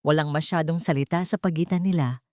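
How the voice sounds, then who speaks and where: Woman, Filipino accent, low voice, scripted dramatic storytelling, romance narration, dry close sound. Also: fast talker, a little mellow, no echo.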